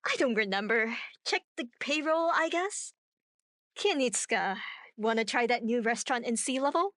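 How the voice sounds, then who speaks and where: A woman playing a gentle, effeminate young actor in a radio play, playful and mock-deferential. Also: a little high, expressive, moderate pace, dry recording, clean studio sound. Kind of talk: naturalistic radio play acting.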